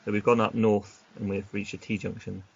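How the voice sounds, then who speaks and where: A man acting as game master, reading gamebook narration aloud in an unhurried way and mixing it with casual chat. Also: lowish voice, flat delivery, creaky, slightly rough. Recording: dull lo-fi recording.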